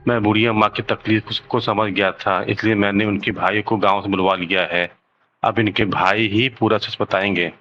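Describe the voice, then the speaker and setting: A man playing a calm, earnest village headman who addresses villagers in a measured, moralizing way in a children's cartoon. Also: lowish voice, dull audio, a little hiss or hum, lightly acted. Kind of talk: Hindi children's cartoon dialogue, speech to villagers.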